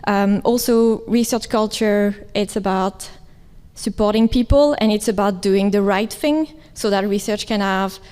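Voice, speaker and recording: A woman's voice: medium pitch, measured pace, a little mellow, clear, resonant tone. A woman who manages research culture at a university, introducing herself on a conference panel in an upbeat, earnest way. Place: large conference hall. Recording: reverberant hall.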